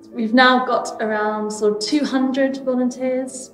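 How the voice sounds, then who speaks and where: A woman's voice: high voice, steady tone, slow pace, faint music behind. A woman with a British accent narrating an audio tour as a theatre arts manager and guide. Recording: echoey room.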